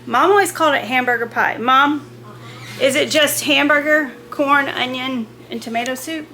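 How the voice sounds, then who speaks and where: A woman's voice: high-pitched, moderate pace, pushed and bright, slight background noise. A woman vlogger chatting casually about homemaking in a chatty, rambling way. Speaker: lifestyle vlogger. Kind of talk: casual homemaking vlog chat.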